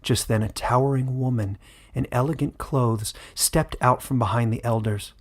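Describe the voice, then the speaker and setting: A man narrating a scripted fantasy story in a measured, dramatic, literary storytelling style. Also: medium pitch, fairly even intonation. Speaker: author and narrator.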